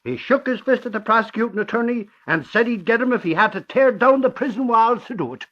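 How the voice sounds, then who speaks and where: An actor playing an excitable, folksy newspaper reporter with an Irish accent, in 1940s radio style. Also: male voice, very high voice, fast, exaggerated voice. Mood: blustery. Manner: folksy, blustering. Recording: muffled old radio recording.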